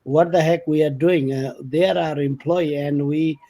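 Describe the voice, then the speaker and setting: A man talking casually on a podcast about his company, speaking in halting with many fillers and restarts. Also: a little high, fairly even intonation, warm tone. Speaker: company vice president. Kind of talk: casual conversational podcast talk.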